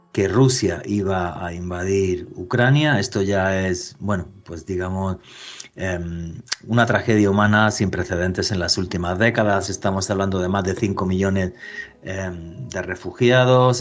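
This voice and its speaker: Low-voiced earnest radio host. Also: male voice. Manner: earnest, opinionated, expansive. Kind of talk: podcast interview introduction.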